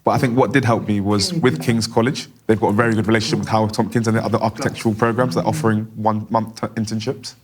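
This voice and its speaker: A man interpreting for a deaf guest, speaking quickly in the first person. His voice sits fairly low, and he strings short clauses together in a steady flow. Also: a crowd in the background. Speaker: sign language interpreter voicing a deaf guest.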